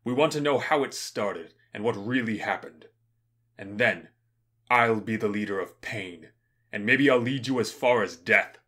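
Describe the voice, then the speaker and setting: A commanding ruler voiced by a man sits in judgment in an audio tragedy. His voice is fairly low, stern and deliberate, full of authority. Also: fairly bright tone. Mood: grave, commanding. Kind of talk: modernized Shakespeare audio drama acting.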